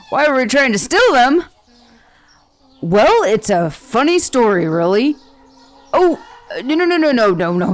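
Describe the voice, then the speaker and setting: A brawny female titan warrior head, as a woman puts her on, bragging and teasing in an easygoing ASMR roleplay. Her voice sits on the low side and she takes her time with each line.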